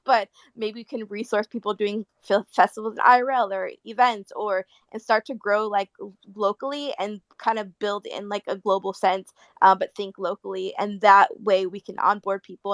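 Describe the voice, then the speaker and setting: A woman's voice: mid-range voice, fairly bright tone, no echo. A woman talks casually as a panelist in an online discussion. Her sound is dry with little room in it, and she circles and repeats words as she works out her phrasing. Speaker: panel speaker.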